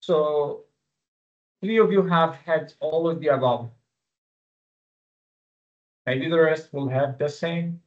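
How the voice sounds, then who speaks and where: A man whose native language is Arabic, teaching an online exam-review webinar with live quiz questions in an informal, halting way. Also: a little high. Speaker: exam-prep instructor. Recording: dull, lo-fi webinar audio.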